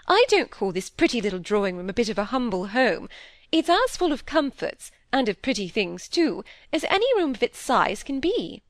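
Woman acting a breezy, high-flown aristocratic young lady. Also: sing-song, moderate pace, dry recording, clean studio sound. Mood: breezy, emphatic. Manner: breezy, imperious, emphatic, playfully complaining. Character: aristocratic young lady, frank, teasing, world-weary, breezy. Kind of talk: audiobook character voice in a period novel.

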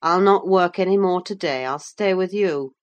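A woman's voice: fairly low pitch, moderate pace, highs cut off, lightly acted. A woman voicing a sullen, jealous teenage boy with a blunt, insistent manner in a classic novel audiobook. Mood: defiant. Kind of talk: audiobook character acting.

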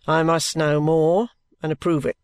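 A man plays a stiff former soldier in a storybook tale. He speaks gravely and guardedly, as if weighing each answer, in a slightly high male register. The sound is close and dry. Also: level tone, a little slow, dry recording, close to an everyday voice.

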